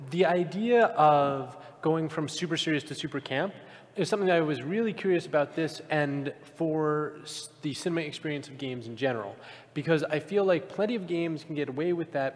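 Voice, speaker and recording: Male panelist, fairly high voice, informal and emphatic debater, recorded in a big echoing hall. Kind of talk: casual live panel debate. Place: large convention panel room with microphones. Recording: very reverberant hall, live panel.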